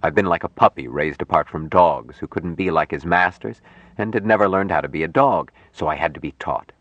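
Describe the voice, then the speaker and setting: A man voicing an earnest, tender young man raised apart from humans, speaking slowly and formally in an audiobook story. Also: low-pitched, no echo, highs cut off. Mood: earnest, reflective. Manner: slow, deliberate, formal phrasing.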